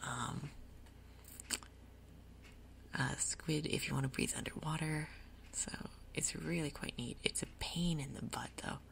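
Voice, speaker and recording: A woman giving soft, breathy, whispered ASMR commentary on a game, murmuring her thoughts aloud in an unhurried way. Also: sits low, fairly even intonation. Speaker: gaming video host.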